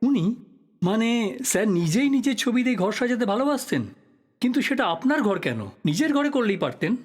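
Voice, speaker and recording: A man's voice: extremely high pitch, expressive, fairly quick. A man playing a polite but persistent investigative journalist in an audio drama, asking courteous questions conversationally. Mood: probing, pointed. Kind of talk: Bengali audio drama acting.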